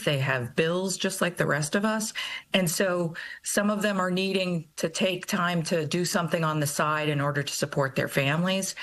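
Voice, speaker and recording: A woman's voice: low-pitched, a bit bright. A middle-aged American woman speaking as an expert guest in a news interview, explaining things conversationally and often checking with a short tag question. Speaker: college president, interview guest.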